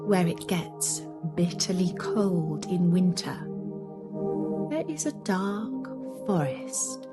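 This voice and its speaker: A woman narrating a scripted children's story in a dramatic, deliberate way, with breathy delivery and suspenseful pauses. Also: on the low side, very animated, loud music behind the voice.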